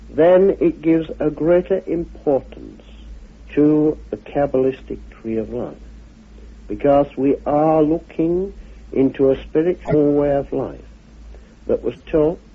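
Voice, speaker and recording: Husky man lecturing, thin phone-like sound. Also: on the high side. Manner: deliberate, measured, emphatic phrasing with pauses. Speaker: lecturer, guest speaker on a documentary. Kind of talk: explanatory lecture on esoteric history. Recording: muffled, phone-like vintage radio recording.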